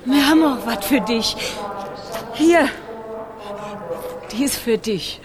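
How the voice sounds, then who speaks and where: A woman's voice: sits high, takes it slowly, crowd noise. A woman playing an affectionate carer in a radio drama, speaking in a plain, colloquial, tender way. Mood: tender farewell. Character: affectionate female carer of a child patient. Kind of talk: naturalistic radio drama acting. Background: music.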